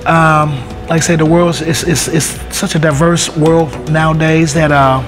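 A middle-aged man gives hesitant, thoughtful interview answers in a fairly high voice. Guitar music plays clearly behind him. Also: flat delivery, a little slow. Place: indoor room at school.

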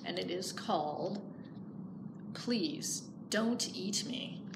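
A middle-aged woman librarian presents a children's read-aloud at a slow pace. The room is echoey, with clear background noise. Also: lowish voice, animated. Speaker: teen librarian. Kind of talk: picture book read-aloud. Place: small plain room indoors.

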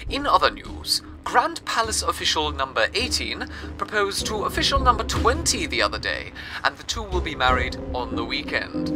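An actor playing a cheery male radio host in a rusting city, announcing news in a chatty, theatrical way with playful asides. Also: fairly high pitch. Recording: clear music bed underneath.

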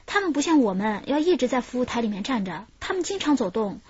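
An actress playing a young, helpful hotel receptionist being questioned in a radio drama. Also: female voice, fairly high pitch, sing-song, fast, darkish tone, a little hiss or hum. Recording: muffled radio drama recording.

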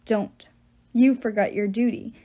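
Woman voicing a menacing ancient giant, slow and taunting. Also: a little high, fairly even intonation, dark tone, lightly acted. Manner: taunting, mock-courteous, deliberate. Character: menacing ancient male giant, mocking and patient. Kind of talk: audiobook character voice.

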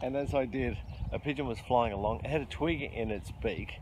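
A man's voice: mid-range voice, a little fast. A middle-aged man, chatting casually and explaining his fishing as he goes, with frequent ums and restarts, filmed on a riverbank by a bridge. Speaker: fishing vlogger. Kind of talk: casual outdoor fishing commentary. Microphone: handheld camera close-up. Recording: noisy outdoor riverside recording.

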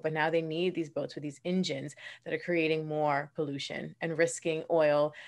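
Woman from the Bahamas explaining earnestly. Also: on the low side. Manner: earnest, explanatory, quick bursts between slow stretches. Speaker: marine conservationist guest. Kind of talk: casual interview. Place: home room, indoors. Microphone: video call.

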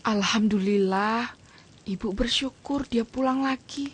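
Woman acting gentle mother, husky voice, higher pitch, radio drama. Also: unhurried. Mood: earnest. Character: gentle mother defending her son.

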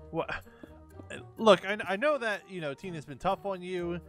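A woman's voice: on the low side, normal speed, soft background music, natural voice. A woman playing a nervous, well-meaning unicorn best pal in an improvised game roleplay, hesitant and pleading as she stammers.

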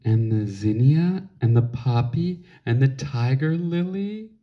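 A man's voice: mid-range voice, lively intonation, takes it slowly. A man speaking as a parent to a small child in a casual picture-book read-along, with simple, encouraging talk. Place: indoors at home, over a book on a table. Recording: dull, lo-fi home recording.

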